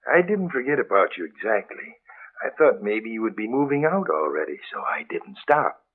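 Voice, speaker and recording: Husky, folksy milkman. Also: fairly low pitch, big pitch swings, normal speed, full character voice. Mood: wary. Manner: hesitant, folksy, deferential. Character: wary small-town milkman, polite and ominous. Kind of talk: 1930s radio drama acting. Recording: tinny, phone-like 1930s radio recording.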